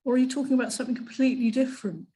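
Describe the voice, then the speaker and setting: A woman speaking up in a seminar discussion with a pointed, questioning manner. Her voice sits a little high. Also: very fast, mellow. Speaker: seminar participant.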